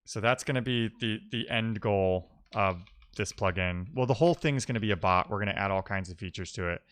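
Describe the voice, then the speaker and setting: A man with a fairly low, crisp voice talks informally as he codes on a livestream. He thinks aloud and chats to his viewers. The sound is dry and close, with little room to it. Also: brisk, a bit bright, no room sound. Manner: informal, reading and answering chat messages aloud. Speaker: live-streaming programmer, host. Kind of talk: casual live-coding stream chat, thinking aloud.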